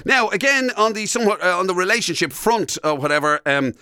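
Halting Irish man with lively, swinging pitch. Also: smooth, no room sound, clean studio sound. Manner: halting, meandering delivery. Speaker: radio show host. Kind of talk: casual bantering chat.

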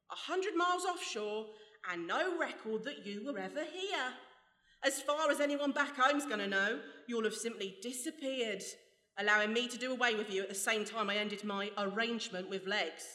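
Bright, gloating villainess in an echoey hall. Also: female voice, on the high side, animated, normal speed. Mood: gloating, menacing. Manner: taunting, menacing monologue. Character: vengeful, gloating female villain, a gun-toting criminal mastermind. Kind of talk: theatrical radio play acting. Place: stage before a live audience. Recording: echoey hall, live stage.

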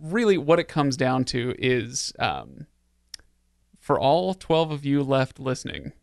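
A man's voice: mid-range voice, big pitch swings, slow, creaky, no room sound, studio quality. A man hosting a podcast in a casual, joking, self-deprecating way. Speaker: podcast host. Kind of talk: casual chat.

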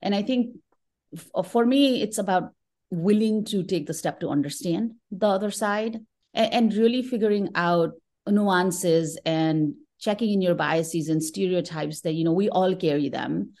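Woman, middle-aged, from Nepal, low voice, reflective interview guest, conversational delivery. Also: measured pace, darkish tone. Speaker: podcast guest, tech professional.

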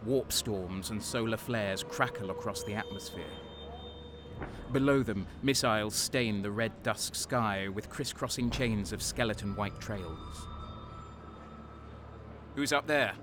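A man's voice: on the low side, takes it slowly, background noise. A man playing a cynical, guarded wounded guardsman sergeant, narrating a sci-fi war audio drama in a sardonic, brooding way. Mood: grim.

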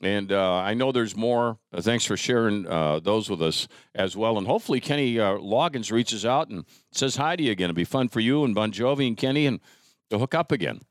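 A man hosting a casual interview podcast in a chatty, folksy, somewhat halting way. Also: fairly low pitch, lively intonation, dry recording, studio quality. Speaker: podcast host.

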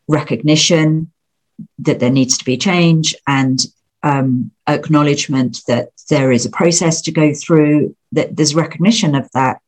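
A woman's voice: low voice, a little mellow, husky. A woman in a casual conversation, speaking reflectively as a therapist and filling her sentences with "you know".

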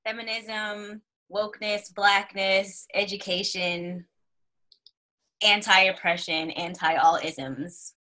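Guest educator, woman, bright tone, emphatic, confident. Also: medium pitch, fairly even intonation, slow pace, a little hiss or hum, rough recording. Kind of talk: casual podcast chat.

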